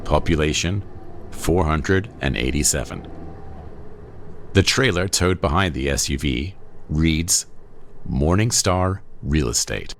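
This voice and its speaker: A man reading screenplay action lines in a measured, descriptive narration. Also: extremely low pitch, animated, gravelly, a little hiss or hum.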